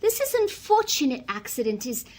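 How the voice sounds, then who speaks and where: A woman playing an anxious, proper high-society lady in a radio mystery play, with a formal, theatrical manner. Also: big pitch swings, moderate pace. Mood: anxious, indignant. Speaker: actor in an amateur radio play. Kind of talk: radio mystery drama acting.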